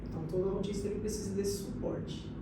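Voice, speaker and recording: A woman's voice: mid-range voice, little pitch movement, fast talker. A woman in her late thirties from São Paulo state, earnestly telling her life story as a podcast guest, often saying "então, assim". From São Paulo state, Brazil. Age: 30s. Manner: earnest, explanatory, many "então, assim" fillers. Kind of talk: personal testimony in casual chat. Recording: echoey room, distant mic, noisy.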